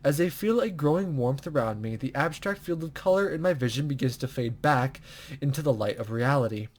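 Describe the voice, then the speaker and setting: A man's voice: mid-range voice, animated, a little fast. A man doing let's play commentary, reading visual novel text aloud and stumbling over unfamiliar words. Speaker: gaming video host.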